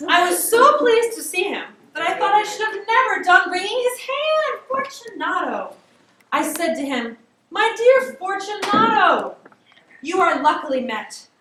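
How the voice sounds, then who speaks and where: A woman narrating classic fiction in an amateur dramatic reading, theatrical, with drawn-out emphasis. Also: extremely high pitch, very animated, bright, forceful tone. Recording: very echoey room, distant mic, faint hiss.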